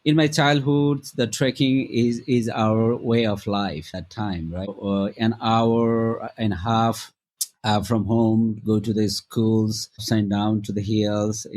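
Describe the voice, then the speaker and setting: A middle-aged man from Nepal with a Nepali accent, answering interview questions in a halting way with many fillers and restarts. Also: lowish voice, fairly even intonation, darkish tone. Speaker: guest, travel company founder.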